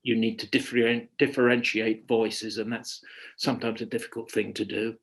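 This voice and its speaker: A man giving informal expert answers in an online question-and-answer session, speaking haltingly as he thinks aloud and restarts his phrases. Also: fairly low pitch, level tone, a bit bright. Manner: hesitant, halting, thinking aloud with repeated starts. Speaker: guest expert, radio dramatist.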